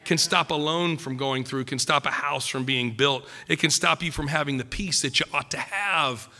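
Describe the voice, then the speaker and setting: Bright high-voiced preacher with a slight cold. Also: male voice, moderate pace, big-room sound. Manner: emphatic, joking preacher with punchy lines. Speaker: pastor. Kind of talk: sermon. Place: church stage at a wooden pulpit. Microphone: podium mic.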